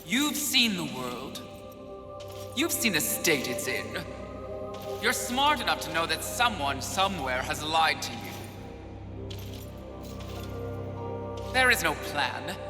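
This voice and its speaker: A man playing a proud demonic villain in a video game, slowly persuading like a grand orator. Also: a little high, very animated. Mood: smooth persuasion. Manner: slow, measured, persuasive oratory. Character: proud, grand demonic sin, a seductive tempter. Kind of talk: dramatic video game cutscene acting. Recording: echoey hall reverb, loud music behind.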